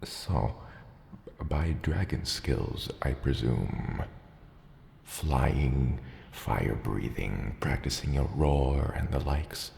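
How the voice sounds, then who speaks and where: A man voicing a gruff, dry-witted dragon father in an ASMR roleplay, fond of his hatchling, with a halting, deadpan, teasing delivery. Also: slight background noise, exaggerated voice. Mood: wry, musing. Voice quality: very deep, gravelly. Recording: roomy cave-like reverb, clear background ambience.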